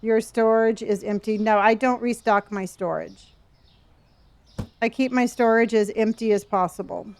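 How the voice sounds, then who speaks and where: Older woman, early 60s, casual streamer type, talks to chat and trails off mid-thought, slightly higher voice. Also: steady tone, unhurried, creaky, darkish tone. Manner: chatty, reads chat aloud, trails off and restarts.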